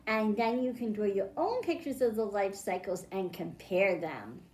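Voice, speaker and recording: A woman's voice: mid-range voice, lively intonation, moderate pace, darkish tone. A woman hosting a children's storytime, reading aloud and explaining science simply to young listeners. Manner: simple explanations addressed to children, with questions to them. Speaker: children's librarian and storytime host. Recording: roomy, echoing indoor sound.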